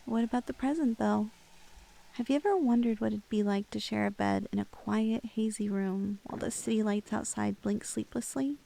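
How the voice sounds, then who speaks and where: A woman's voice: mid-range voice, fairly even intonation, normal speed, vocal fry, warm tone, poor audio. A woman playing a lovestruck, clingy young woman in an intimate ASMR roleplay, playful and hesitant, with a teasingly unhinged streak. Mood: wistful.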